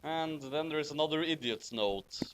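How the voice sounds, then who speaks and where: A man's voice: fairly high pitch, moderate pace, a little hiss or hum, low-quality recording. A man hosting a comedic fanfiction reading, mixing read-aloud lines with casual commentary.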